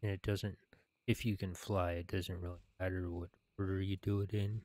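A man in his thirties reading video game quest text aloud in a halting, deliberate way, with some plain commentary. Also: sits low, level tone, darkish tone, no echo.

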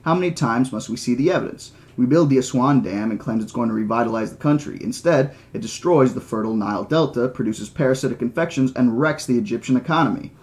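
A man reads the part of a mathematician in a novel, certain of himself and condescending. He lectures at a middle pitch, didactic and flatly insistent, as in an audiobook. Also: fast, hardly any pauses, lightly acted. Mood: exasperated lecturing. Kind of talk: audiobook character reading.

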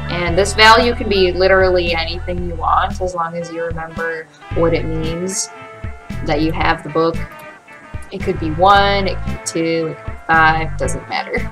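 A young adult woman narrating a software tutorial step by step, in an informal, matter-of-fact way. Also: on the low side. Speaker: tutorial creator and channel host. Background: guitar music.

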